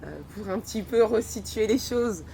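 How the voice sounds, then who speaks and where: A woman in her forties from France, a podcast guest who talks in a measured, thoughtful way in casual conversation. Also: mid-range voice, lively intonation, gravelly, dark tone. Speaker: guest, environmental engineer. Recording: faint background noise.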